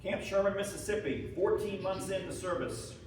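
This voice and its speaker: A man's voice: sits high, moderate pace, slight background noise, lightly acted. A man dramatically reading letters as a weary, homesick Civil War soldier husband, earnest and theatrical, aggrieved yet tender. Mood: hopeful. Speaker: reader at a historical presentation. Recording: echoey room, distant microphone.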